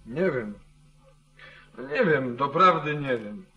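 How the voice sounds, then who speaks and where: An actor playing a suspicious, wavering landowner who questions sternly, in the style of old radio drama. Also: male voice, mid-range voice, animated, slow pace, pushed and bright, dull audio. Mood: torn, hesitant. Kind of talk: 1940s Polish radio drama acting.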